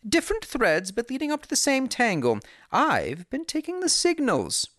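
A reader voicing a brilliant, excitable male detective in a Victorian audiobook, in a raised, quick, exclaiming voice. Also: mid-range voice, dry recording, studio quality, voice pushed up. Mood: brisk. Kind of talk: audiobook character reading.

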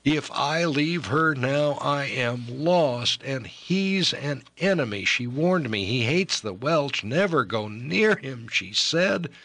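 A man's voice: medium pitch, animated, slow, hardly any pauses, bright, forceful tone, no room sound, pitched above the performer's own voice, exaggerated voice. A man voicing an impassioned young man in love, pleading urgently.

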